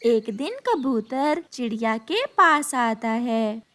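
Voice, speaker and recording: A woman narrating a children's cartoon story in slow, clear storytelling. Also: a little high, big pitch swings, fairly bright tone.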